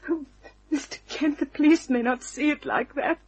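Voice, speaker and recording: An actress playing a frightened, pleading young woman in an old-time radio mystery, breathy and husky, speaking haltingly. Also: sits high, slight background noise, close to an everyday voice.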